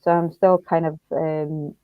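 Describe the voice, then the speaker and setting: A woman from Estonia speaking reflectively as an interviewed writer, thoughtful and halting as she searches for words. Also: sits low, flat delivery, dry recording, phone-quality sound.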